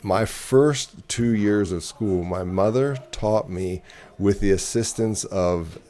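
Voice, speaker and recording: A man's voice: fairly low pitch, slow pace, warm tone. A middle-aged man from Zimbabwe, a ministry director, telling his story in an interview with frequent hesitations and repeated words.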